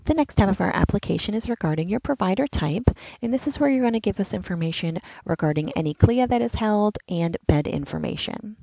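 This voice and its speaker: A woman's voice: medium pitch, animated, brisk, vocal fry, few pauses, dark tone, dry recording, dull audio. A woman giving a patient, step-by-step walkthrough of an online application form. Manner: step-by-step instructional, plain and patient. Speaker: enrollment trainer. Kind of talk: screen-recorded software walkthrough.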